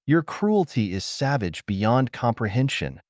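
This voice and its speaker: A man's voice: mid-range voice, big pitch swings, measured pace, a little mellow, no echo, clean studio sound. A man voicing a sarcastic, jealous, grumbling young man in an audiobook story. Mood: jealous, sulky.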